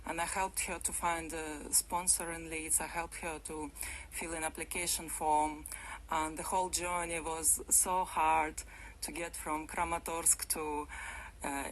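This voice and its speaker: A woman's voice: deep voice, flat delivery, measured pace, a little hiss or hum, rough recording. A woman from Ukraine, a radio interview guest in a studio, speaking English in simple, phrasing. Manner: simple, non-native phrasing, laughs lightly.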